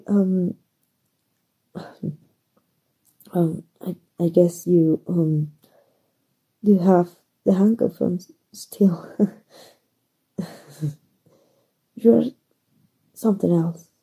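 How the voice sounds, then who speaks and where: Very husky, mellow, flustered young heroine played by a woman. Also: fairly low pitch, exaggerated voice, rough recording. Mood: flustered, smitten. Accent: Mexican. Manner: hesitant, stammering, breathy. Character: flustered, soft-hearted young female hero, smitten with villain. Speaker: voice actor. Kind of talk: ASMR roleplay acting.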